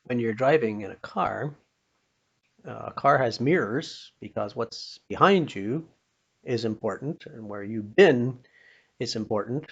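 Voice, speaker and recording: An older man with a North American accent and a gravelly voice, telling a personal story in an unhurried, plain-spoken, folksy way, as if passing on a lesson. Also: medium pitch, animated. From Pennsylvania, United States. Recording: dull, muffled lo-fi recording.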